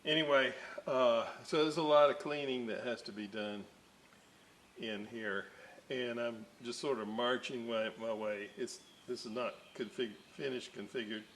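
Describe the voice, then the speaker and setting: Man, very slow unhurried talk, middle-range voice, rambling with fillers, workbench narration, faint background hiss. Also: medium pitch, fairly even intonation, fairly bright tone. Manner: rambling, many fillers and side stories. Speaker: electronics restoration hobbyist host. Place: electronics workbench indoors.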